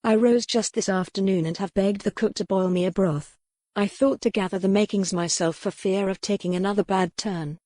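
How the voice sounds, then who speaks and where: A woman's voice: mid-range voice, fairly even intonation, normal speed, no echo, natural voice. A woman playing a frail young widow. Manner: formal, quiet and wry.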